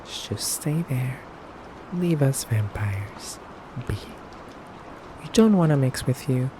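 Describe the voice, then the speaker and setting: A man playing an aloof, condescending male vampire in an ASMR roleplay, measured and halting, often dropping toward a breathy whisper. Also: medium pitch, lively intonation, warm tone, slight background noise. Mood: aloof, stern. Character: aloof, condescending, elegant femboy vampire interrogator. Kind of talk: slow, soft ASMR roleplay monologue.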